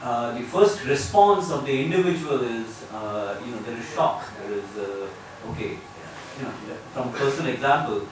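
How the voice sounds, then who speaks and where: A man with an Indian accent speaks at a panel in a big hall, his voice bouncing around the room and picked up from a distance. He talks in a measured way, pausing often with fillers, and a steady hiss sits under his words. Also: medium pitch. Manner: measured, hesitant with many fillers. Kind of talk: panel discussion remarks. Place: conference hall panel table. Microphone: table mic, far camera. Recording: echoey hall, distant mic, noise.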